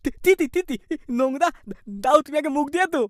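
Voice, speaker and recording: A woman's voice: sits high, quick pace, over loud music, close to an everyday voice. A woman voicing an indignant village husband in a cartoon, lisping and stammering as he blusters. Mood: flustered. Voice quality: lisping, t sounds for k. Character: indignant village husband with baby-talk lisp. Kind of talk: exaggerated cartoon dialogue.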